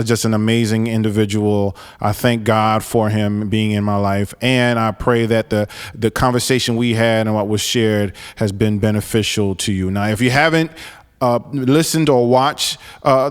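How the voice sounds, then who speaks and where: A man in his forties from New York hosting a solo podcast, talking earnestly and haltingly with frequent restarts and fillers. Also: mid-range voice, clean tone, big-room sound. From New York, raised in Florida. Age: 40s. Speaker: podcast host.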